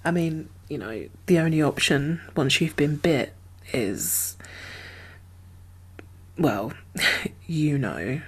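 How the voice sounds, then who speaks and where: A woman with a low, breathy voice speaks softly in an ASMR roleplay, often slipping into a whisper. Her delivery is slow, gentle and halting, as a guarded, caring survivor of a zombie apocalypse. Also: lively intonation. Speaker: ASMR performer playing a role. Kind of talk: soft-spoken ASMR roleplay.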